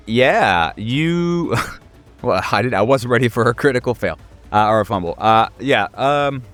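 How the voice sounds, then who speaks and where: A man narrating a tabletop game as game master in a casual, halting, wryly playful way, often searching for words. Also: mid-range voice, a bit bright, clear, resonant tone, no room sound. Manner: wry, playful, slangy.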